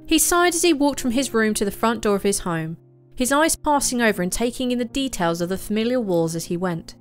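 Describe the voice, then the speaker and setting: A woman's voice: fairly high pitch, lively intonation, fairly quick, faint music behind. A woman reading scripted third-person story narration.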